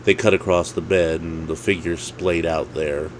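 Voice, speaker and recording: A man's voice: low voice, little pitch movement, normal speed, slight background noise. A man narrating a story in a dramatic storytelling style.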